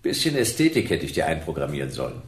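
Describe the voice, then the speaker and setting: Low-voiced, skeptical private detective. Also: male voice, sing-song, quick pace. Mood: unimpressed. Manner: questioning, dry, unimpressed. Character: skeptical middle-aged male private detective. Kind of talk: radio play acting.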